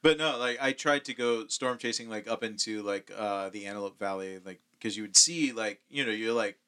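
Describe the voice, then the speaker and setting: Man, podcast co-host, easygoing rambling talk, low-ish pitch, bright tone. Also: normal speed, a little hiss or hum. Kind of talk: casual chat.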